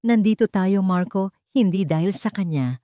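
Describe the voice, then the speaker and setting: A woman's voice: medium pitch, animated, normal speed, dark tone, no room sound, close to an everyday voice. A woman with a Filipino accent voicing a forgiving, steadfast mother in a drama, speaking in a direct, earnest way. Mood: firm, tender. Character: forgiving, steadfast mother, firm and tender with her son.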